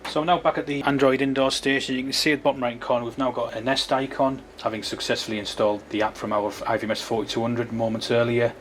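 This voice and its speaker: A man's voice: medium pitch, fairly even intonation, quick pace, hardly any pauses, a bit bright, a little hiss or hum. A man presenting a product demonstration, explaining it informally step by step as a technical tutorial.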